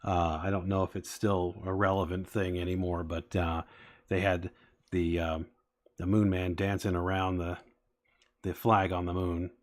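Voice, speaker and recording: A man's voice: low voice, flat delivery, unhurried. A man in his forties chatting casually with live-stream viewers, rambling with many fillers as he talks. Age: 40s. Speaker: streamer building toy sets.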